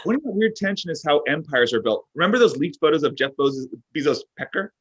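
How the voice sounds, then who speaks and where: Man acting husky, swooping, oblivious boss. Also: fairly high pitch, animated, quick pace. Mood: oblivious, blunt. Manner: blurting, self-justifying, overeager. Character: oblivious self-absorbed male boss, needy and boastful. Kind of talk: comedy script table read. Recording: dull lo-fi online call.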